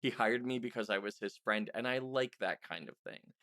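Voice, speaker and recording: A man's voice: lowish voice, moderate pace, bright, forceful tone, no echo, clean studio sound. A man hosting a casual interview, telling anecdotes and often acting out bits of imagined dialogue. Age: 30s or older. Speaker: podcast host interviewer.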